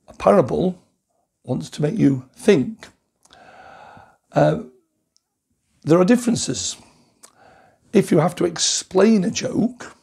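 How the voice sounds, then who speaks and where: A man with a hoarse, croaky voice from a cough, speaking as a Methodist preacher in a rambling, thoughtful recorded sermon with many pauses and restarts. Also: on the high side, dark tone.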